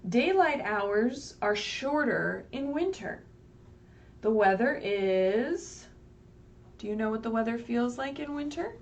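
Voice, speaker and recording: A woman with a high, very lively voice reads a picture book to small children. She takes her time, explains things simply and keeps checking in with little questions. She sounds a bit away from the mic, with faint noise in the recording. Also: slow. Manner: sing-song, asks questions and checks in with "right?". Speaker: children's librarian leading story time. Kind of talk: read-aloud picture book story time for young children. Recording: distant mic, faint background noise, livestream.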